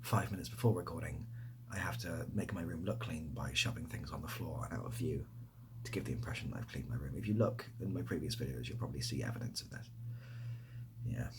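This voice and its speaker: A young man with an English accent chatting softly in an ASMR style, hesitant and rambling, often dropping to a near-whisper. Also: a bass voice, quick pace, noisy background. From near Bath, England. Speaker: ASMR video creator. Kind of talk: softly spoken ASMR casual chat answering questions.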